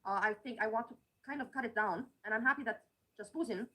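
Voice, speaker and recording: A woman's voice: a little high, fast, bright, forceful tone, poor audio. A woman with an Austrian accent, chatting in a rambling way as she hosts a reaction vlog. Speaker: vlogger host. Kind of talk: casual reaction commentary.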